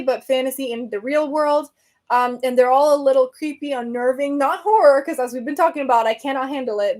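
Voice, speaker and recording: A woman from Venezuela who hosts a book podcast talks casually with viewers on a live stream. Her voice sits a little high. She rambles, fills gaps with "um," and corrects herself mid-sentence. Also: darkish tone. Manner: chatty and rambling, many fillers and self-corrections.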